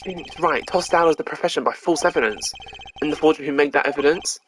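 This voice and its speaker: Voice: medium pitch, fast, noisy background, natural voice. A streamer voicing a suave young male prosecutor in a courtroom video game, with theatrical, mocking delivery. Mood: smug. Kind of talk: reading game dialogue aloud on stream.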